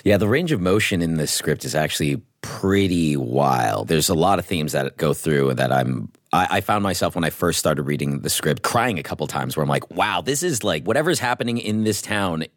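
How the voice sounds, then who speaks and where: Male actor, low-pitched, effusive casual talker, very dry studio sound. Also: animated, moderate pace, few pauses, no echo. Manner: effusive, informal. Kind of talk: casual panel chat.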